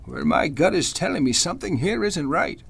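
Man acting high-voiced, gravelly older judge. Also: big pitch swings, normal speed, fairly bright tone, exaggerated voice. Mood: suspicious, urgent. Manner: earnest, old-fashioned formal speech. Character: genial but suspicious veteran male judge, gentlemanly. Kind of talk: old-time radio drama acting.

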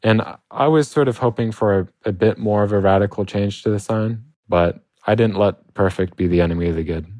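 A man's voice: fairly low pitch, fairly quick, few pauses, a little mellow, no room sound. A young man, a student, speaking casually and reflectively in an interview. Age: young adult. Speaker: student documentary maker, interviewee. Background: music.

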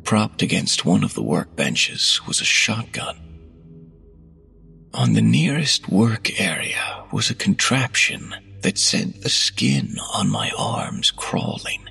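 A man reading a horror story aloud in a measured, hushed delivery that drops to whispers, with faint music behind. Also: fairly low pitch, huge pitch swings, gravelly, slightly rough. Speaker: narrator. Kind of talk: scripted horror narration.

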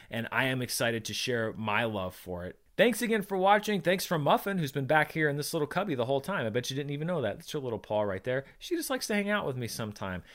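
Fast-talking man hosting a movie show. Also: mid-range voice, a bit bright. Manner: chatty, informal, direct address to listeners. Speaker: film reviewer and box office show host. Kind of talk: casual to-camera vlog commentary.

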